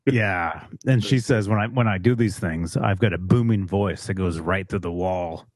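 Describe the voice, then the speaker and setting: A man guesting on a podcast, easygoing and casual. His voice is lowish and husky, with a dry, close sound. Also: moderate pace, darkish tone, no echo. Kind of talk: casual chat.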